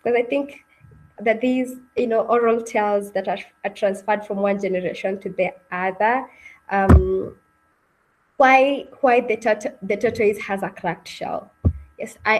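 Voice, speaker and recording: A woman's voice: medium pitch, a little slow, slight background noise, low-quality recording. A woman hosting an online session as an informal presenter, often putting questions to her listeners and checking in with them. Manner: addresses listeners with questions and repeated check-ins. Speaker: webinar host.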